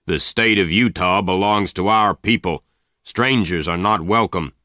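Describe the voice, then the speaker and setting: A man's voice: low voice, unhurried, sharp tone, dry recording, studio quality. A man voicing an angry, domineering gang leader who makes harsh threats.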